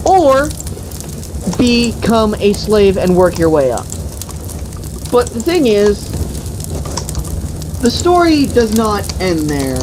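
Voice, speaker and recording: A woman telling an improvised comic fantasy story among friends in a deliberate, profane, mock-epic manner. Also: mid-range voice, noisy background. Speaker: storyteller and host.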